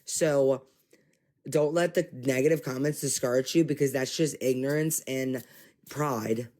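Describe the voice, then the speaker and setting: A man's voice: medium pitch, unhurried. Exasperated man ranting to viewers. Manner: animated, exasperated, addresses viewers as y'all. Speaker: commentary streamer. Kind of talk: casual livestream commentary.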